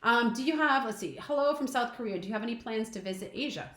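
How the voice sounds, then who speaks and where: A woman's voice: on the high side, a bit bright, roomy sound. A woman in her forties co-hosting a casual live stream, reading viewer questions aloud and answering them in a chatty way. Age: 40s. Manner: chatty, reads comments aloud and answers quickly. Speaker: travel channel co-host.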